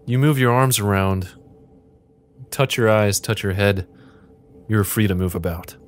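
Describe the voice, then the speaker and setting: A man's voice: fairly low pitch, measured pace. A man hosting a podcast as game master, mixing casual chat with dramatic interactive fiction narration.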